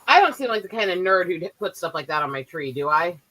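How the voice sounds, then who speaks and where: Foul-mouthed woman cohosting a stream, super animated voice. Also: fairly low pitch, fast. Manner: blunt, profane, animated. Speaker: stream co-host. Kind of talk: casual livestream chat. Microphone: streaming mic, remote call.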